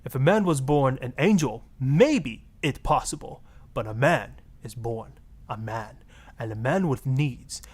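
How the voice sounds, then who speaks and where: A man's voice: medium pitch, measured pace, a little mellow, husky. A man voicing a suave, sleazy marriage guru with a Spanish accent, florid, seductive and pompous, in a satirical game radio style. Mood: seductive, preachy. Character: suave, sleazy Latin male marriage guru, grandly passionate.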